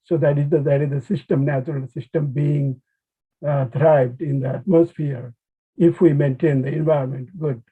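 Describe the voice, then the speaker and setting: Male academic speaker, Nepali accent, slightly high raspy voice, halting delivery. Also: fairly even intonation. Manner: halting, hesitant phrasing with frequent restarts. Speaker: conservation biologist, webinar speaker. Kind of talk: informal academic webinar talk. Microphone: video call. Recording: thin phone-like online call audio.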